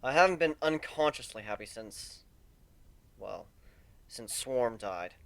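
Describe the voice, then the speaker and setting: A man's voice: medium pitch, animated, slow, gravelly. A man voicing an earnest, self-conscious and easily bewildered male pegasus pony in a dramatic story reading. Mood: protesting, wistful.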